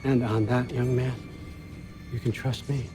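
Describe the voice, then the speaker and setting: Self-assured schemer patriarch for game cutscene male actor; medium pitch, clipped, testing, coaxing, over music. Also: little pitch movement, unhurried, close to an everyday voice. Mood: sly, confident. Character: sly, commanding, cagey patriarch, smooth and testing. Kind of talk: dramatic video game cutscene acting.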